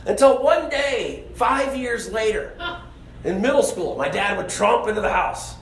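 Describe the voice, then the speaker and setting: A man telling a comic, self-deprecating story on stage in an animated, conversational way. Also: high-pitched, a little slow. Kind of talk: comedic stage storytelling to an audience. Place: live stage venue. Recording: echoey stage show, audible room noise.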